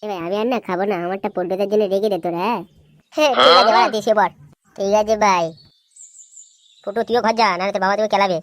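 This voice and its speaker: Lady doing a rowdy tipsy-lad cartoon voice, brash, talks super quick. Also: medium pitch, no room sound, close to an everyday voice. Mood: brash, dismissive. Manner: slangy, brash. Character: rowdy young men drinking, cocky and foul-mouthed.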